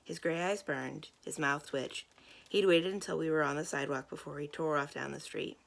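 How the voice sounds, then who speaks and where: A woman's voice: deep voice, steady tone, normal speed, gravelly. A woman reading a children's novel aloud as a storyteller. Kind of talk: scripted read-aloud narration of a children's novel.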